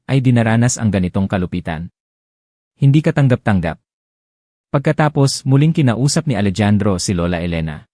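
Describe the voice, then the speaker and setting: A man's voice: on the low side, mellow, dry recording, clean studio sound. A man narrating a romance audiobook, reading dramatically and expressively. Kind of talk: scripted narration.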